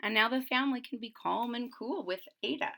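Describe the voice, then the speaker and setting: A woman's voice: fairly high pitch, a little fast, vocal fry. A woman reading a rhyming children's picture book aloud, with chatty asides to her listeners. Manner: expressive, chatty asides to listeners between rhymes. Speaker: read-aloud storyteller.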